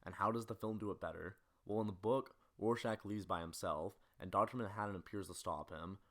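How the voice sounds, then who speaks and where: A man's voice: on the low side, a little fast, fairly bright tone. A young man reading scripted narration as a video essayist, analyzing film.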